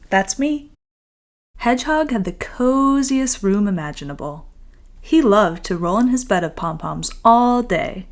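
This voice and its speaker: Woman author reading a storybook aloud. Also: on the high side. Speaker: children's author reading their own book. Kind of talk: expressive storybook read-aloud and friendly chat to young listeners.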